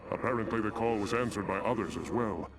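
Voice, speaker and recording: An actor playing a resolute, noble alien templar commander in a video game, grave, formal and commanding. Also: male voice, fairly low pitch, fast, lightly acted. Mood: urgent, grave. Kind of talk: scripted sci-fi video game dialogue. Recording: loud game music behind.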